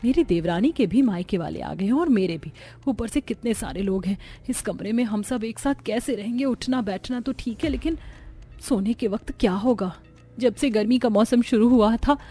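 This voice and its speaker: Woman acting a complaining young daughter-in-law, high voice, music behind. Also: close to an everyday voice. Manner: complaining, sharp-tongued. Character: harried, quarrelsome young Indian daughters-in-law in a cartoon. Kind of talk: scripted cartoon dialogue.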